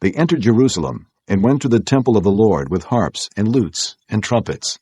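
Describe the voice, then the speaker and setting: A man narrating an audio Bible as scripted storytelling, in a measured, solemn way. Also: sits low, a little mellow, breathy edge, no echo.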